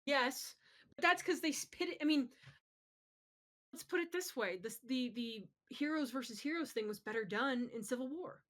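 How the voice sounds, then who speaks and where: A woman's voice: high-pitched, expressive, moderate pace, fairly bright tone. A woman co-hosting a podcast in casual, opinionated chat, talking emphatically and swearing freely. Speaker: podcast co-host. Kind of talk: casual chat, opinionated pop culture commentary.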